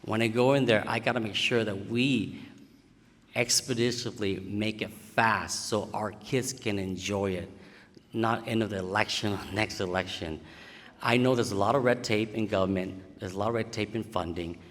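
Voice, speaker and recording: A man's voice: on the low side, fairly even intonation, moderate pace, fairly bright tone. A man from Vietnam, answering at a candidate forum in plain, slightly broken phrasing. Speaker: city council candidate. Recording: reverberant hall.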